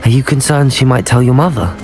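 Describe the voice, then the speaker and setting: A man's voice: medium pitch, quick pace, close to an everyday voice. A man playing a loyal, reassuring teenage wizard hero in video game dialogue, with music behind him. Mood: resolved. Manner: polite, sincere, reassuring. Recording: music under the voice.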